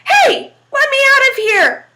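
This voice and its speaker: A pint-sized devil boy with fresh horns, brash and then squealing to be let go, as a woman puts him on in a storytelling reading. The voice jumps very high. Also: huge pitch swings, measured pace, very noisy, heavily acted. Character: small cocky boy devil, sprouting horns.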